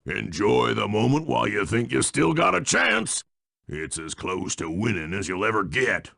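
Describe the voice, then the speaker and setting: A man playing a burly, boastful bison lumber baron villain in a video game, with a folksy, menacing rural American frontier drawl and a gravelly voice. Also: fairly low pitch, big pitch swings, moderate pace, pushed and bright, full character voice. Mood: gloating, scornful.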